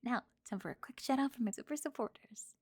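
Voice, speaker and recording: A woman speaking as the host of an ASMR channel, reading a scripted sign-off and a list of shout-outs. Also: on the high side, fast, breathy edge, no echo, clean studio sound.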